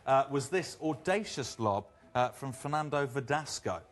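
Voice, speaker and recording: A man hosting an outdoor segment with a loud voice, bantering with a guest in a teasing, excitable, mock-competitive way on a handheld microphone. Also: medium pitch, moderate pace. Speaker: host. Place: outdoors at a busy tennis event. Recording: busy crowd and background noise.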